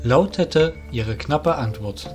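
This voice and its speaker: A man narrates an audio drama from a script. His voice is medium in pitch, with soft music underneath. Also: expressive, slow pace.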